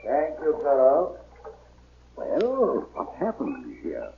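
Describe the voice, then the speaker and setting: Creaky, animated missionary reverend, old radio. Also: male voice, mid-range voice, slow, exaggerated voice. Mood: concerned. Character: kindly missionary reverend, indignant at river pirates. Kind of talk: 1930s radio drama acting. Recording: noisy, tinny, distant old radio recording.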